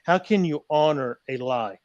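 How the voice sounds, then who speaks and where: A man's voice: a little high, animated, normal speed, a little mellow, no echo, muffled recording. A man explaining and debating in conversation, with a didactic, challenging way of talking. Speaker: panelist.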